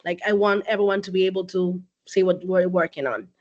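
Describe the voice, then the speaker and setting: A woman, a company CEO, speaking casually as a guest on a video call, laughing often and filling pauses with "um". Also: on the low side, darkish tone, muffled recording. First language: Spanish. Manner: chatty, laughs often, many fillers. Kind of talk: casual online talk.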